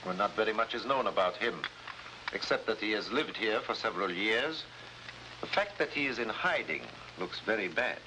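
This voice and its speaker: An actor playing a formal, cautious head of government, in measured 1950s drama style. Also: male voice, fairly low pitch, animated, close to an everyday voice. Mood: grave, cautious. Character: grave, formal Latin American head of government. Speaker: actor in a supporting role. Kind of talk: 1950s spy drama acting. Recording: noisy vintage 1950s broadcast recording.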